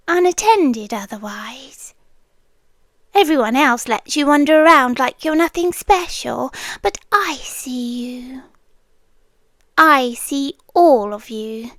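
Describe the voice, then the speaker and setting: Woman playing a slow, high-voiced British yandere landlady. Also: no echo. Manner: breathy, often dropping to a whisper, coaxing. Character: possessive yandere landlady, doting, controlling, quietly menacing. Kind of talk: yandere girlfriend ASMR roleplay, slow and intimate. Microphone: close ASMR microphone, dry.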